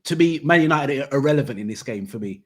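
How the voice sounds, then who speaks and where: A man's voice: medium pitch, lively intonation, fast talker. A man from hosting a casual football debate, rambling and restarting his sentences as he argues. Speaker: football podcast host.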